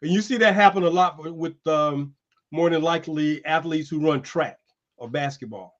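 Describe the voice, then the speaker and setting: A man hosting a streamed show, chatting loosely and calling people "man" as he pitches merchandise. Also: moderate pace, highs cut off. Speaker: host. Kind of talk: casual chat and merchandise pitch. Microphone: streaming setup.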